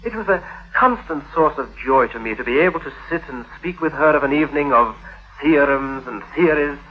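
An actor playing a prim, pedantic gentleman accused of a crime, protesting innocence in a precise, pompous, formal 1950s radio manner. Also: fairly high pitch, fairly quick, natural voice. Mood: earnest, prim. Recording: muffled vintage radio recording.

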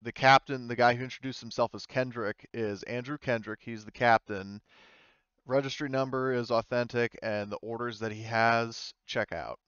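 A man's voice: medium pitch, steady tone, moderate pace, fairly bright tone, clear, resonant tone, no echo, highs cut off. A man narrating a tabletop game as game master in a casual, hesitant, improvised way, explaining as he goes.

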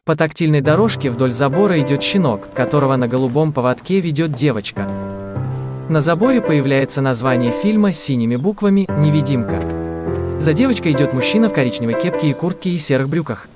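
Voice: medium pitch, expressive, a little fast. A narrator reading scripted audio description for a cartoon. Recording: music under the voice. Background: prominent soundtrack music.

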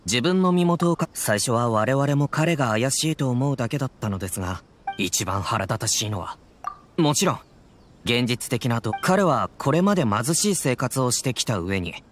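Man as an animated, officious samurai guard. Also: mid-range voice, moderate pace, faint music behind, natural voice. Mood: officious, indignant. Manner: formal, emphatic. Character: officious, earnest samurai guard, indignant and self-assured. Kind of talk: video game dialogue acting.

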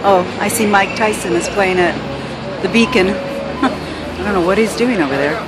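A woman's voice: medium pitch, moderate pace. A woman filming herself on a city sidewalk, chatting unhurriedly about what she passes, often laughing. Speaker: vlogger walking the city. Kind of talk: casual walking vlog narration. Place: outdoors on a busy city sidewalk. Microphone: handheld camera. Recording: loud street traffic noise.

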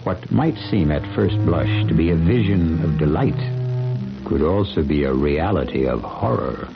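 A man narrating a 1970s radio mystery in an ominous, deliberate, theatrical manner. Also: extremely low pitch, lively intonation, creaky, exaggerated voice. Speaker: host and narrator. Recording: muffled vintage radio broadcast with loud music.

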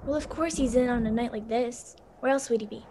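High female voice, actress as blunt grumbling maid, colloquial, radio play, noisy track. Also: brisk, dark tone, lightly acted. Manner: blunt, colloquial servant's speech. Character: gruff, grumbling maid at a sanitarium.

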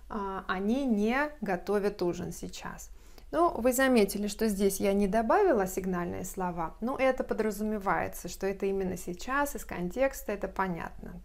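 A woman in her thirties gives a whiteboard grammar lesson at a medium pitch. She explains patiently, slowing down to sound out each example phrase. A lapel mic picks her up close in a bright room. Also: creaky. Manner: slow, careful explanation with spelled-out English examples. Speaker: English teacher.

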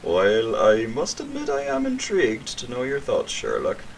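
An actor playing a sinister criminal mastermind in an audio drama, taunting a captive in formal, theatrical language. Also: male voice, medium pitch, huge pitch swings, moderate pace, creaky, slight background noise. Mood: coldly taunting.

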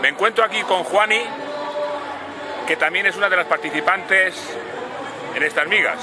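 A man's voice: high voice, normal speed. A man in his sixties with a Castilian Spanish accent, interviewing people casually and jokily in an outdoor square. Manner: jokey, chatty. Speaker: interviewer for local TV. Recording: outdoor camera sound with crowd noise.

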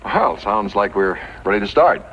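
A man acting a polite, earnest young army lieutenant in a 1950s radio western, with brisk, formal manners. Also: on the low side, animated, lightly acted. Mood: courteous. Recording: noisy, dull 1950s radio recording.

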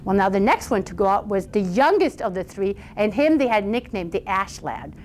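A woman storyteller telling a fairy tale aloud in an informal, conversational and animated way. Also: mid-range voice, moderate pace, slight background noise.

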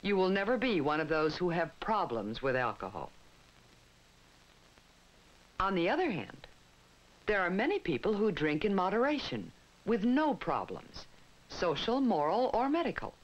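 An American woman reading scripted 1960s educational film narration in a measured, instructive way with emphatic phrasing. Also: lowish voice, huge pitch swings. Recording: dull 1960s film soundtrack with music. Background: prominent music.

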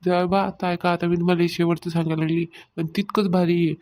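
A woman's voice: on the low side, flat delivery, quick pace, a little mellow, lightly acted. A woman voicing a meddling, gossiping older village neighbour in a comedy cartoon, with a rural Maharashtrian accent and a taunting, insistent way of telling tales. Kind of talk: Marathi comedy cartoon dialogue.

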